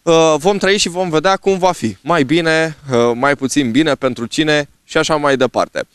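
Guy in his 30s doing a street report to camera, crisp voice, dry sound, lots of uhs. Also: a little high, a bit bright, no echo. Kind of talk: unscripted piece to camera. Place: outdoors on a snowy town street. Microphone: handheld microphone held at chest.

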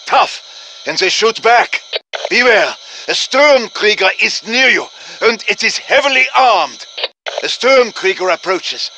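An earnest German-accented professor in a video game, addressing his fighters with courtly drama. His voice is bright and forceful and swings widely in pitch. He takes his time over each phrase. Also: a little hiss or hum, heavily acted, low-quality recording. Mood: urgent warning. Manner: dramatic, urgent, courtly encouragement. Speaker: game character voice. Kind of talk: scripted video game voice lines.

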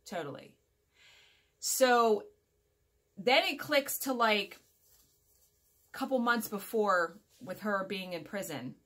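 A woman in her forties talks to her viewers like a casual home vlogger. Her voice sits at a medium pitch. She is chatty and emphatic, rambling along and correcting herself as she goes. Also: animated, takes it slowly, long pauses, a bit bright. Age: 40s. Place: small furnished room at home, indoors.

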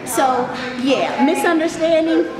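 High-voiced woman in an echoey, crowded hall. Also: slow pace. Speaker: singer and stage actress. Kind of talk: chatty, playful promo. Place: large brick-walled hall indoors. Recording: echoey room with loud chatter.

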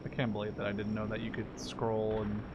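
A man's voice: fairly low pitch, completely flat delivery, brisk, muffled recording. A man in his twenties giving casual, sweary let's-play commentary, musing aloud as he plays. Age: 20s. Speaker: gamer commentator. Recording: game battle noise behind voice. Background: game gunfire and vehicles.

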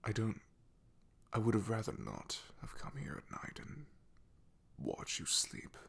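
Breathy, gravelly, awkward knight acted by a man. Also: lowish voice, very animated, whispered, exaggerated voice. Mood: awkward, deferential. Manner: hesitant, apologetic, halting with throat clearing. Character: awkward, loyal, deferential male knight guarding a princess. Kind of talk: ASMR roleplay, soft half-whispered acting.

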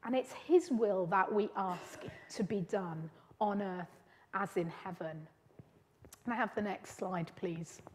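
A middle-aged woman giving a sermon at a church lectern, speaking in an earnest, gentle teaching manner with measured pauses. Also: mid-range voice, slight background noise. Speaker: preacher leading a church service. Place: church hall. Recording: long church reverb.

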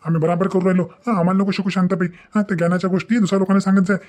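Man acting a blustering cartoon kidnapper, high male voice, hurried, exasperated complaining. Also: little pitch movement, noisy background, close to an everyday voice. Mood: irritated. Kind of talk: Marathi cartoon comedy acting.

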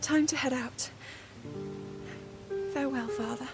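Young princess for a fantasy game: earnest, anxious, formal, high register. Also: female voice, steady tone, measured pace, over loud music. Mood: solemn, anxious.

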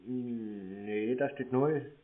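A man in a casual phone conversation, answering in a laconic, deadpan way as a prank caller. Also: medium pitch, slow. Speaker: YouTuber prank-calling phone scammers. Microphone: telephone call. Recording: narrow muffled phone line.

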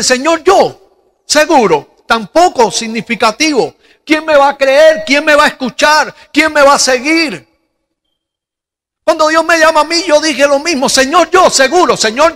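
A middle-aged man from Cuba with a Cuban Spanish accent, preaching a sermon into a handheld mic in a large church hall, slow and deliberate, with rhetorical questions. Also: extremely high pitch, bright, forceful tone. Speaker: pastor. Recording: long hall reverb.

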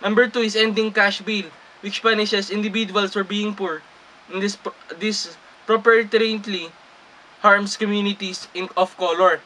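A young Filipino man speaks earnestly to his camera in a small plain room, his voice high and bright. He trips over longer English words and starts them again, and a faint room hum sits behind him. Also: level tone, normal speed, rough recording. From Philippines. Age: young adult. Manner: earnest, with many restarts when reading English passages. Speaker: vlogger. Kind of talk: casual talk to camera, mixing Tagalog and English.

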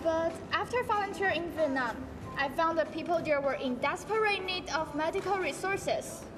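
A woman's voice: extremely high pitch, moderate pace, background noise. A teenage girl with a Taiwanese accent playing an earnest young female doctor in a school stage play. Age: teens. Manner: earnest, explanatory. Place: large school auditorium stage. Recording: echoey hall with music behind.

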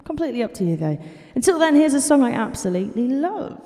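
A woman's voice: high voice, quick pace, big-room sound. A young woman, chatting casually into a stand mic in a small bedroom. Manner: chatty and relaxed, sings with piano. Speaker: singer-songwriter YouTuber. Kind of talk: casual chat and singing at the piano. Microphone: studio mic on a stand. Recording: loud piano playing behind.

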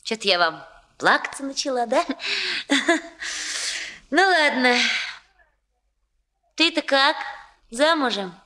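A young working-class bride-to-be from a 1960s Soviet radio play. Her voice is high and bright, almost sharp, and she talks in a gushing, homely, self-deprecating way. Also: sing-song, takes it slowly, pauses often, full character voice. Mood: frank, chatty. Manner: colloquial, chatty, gushing.

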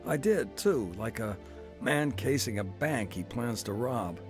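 Man doing a down-on-his-luck narrator, reflective, mid pitch, music under. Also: expressive, measured pace, close to an everyday voice. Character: down-on-his-luck man telling his own story. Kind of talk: radio drama narration and acting.

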